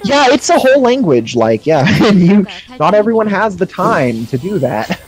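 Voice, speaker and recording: A man's voice: sits high, big pitch swings, moderate pace, low-quality recording. A young man in casual chat, explaining things earnestly and choosing his words with care. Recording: faint music behind the voice. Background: music.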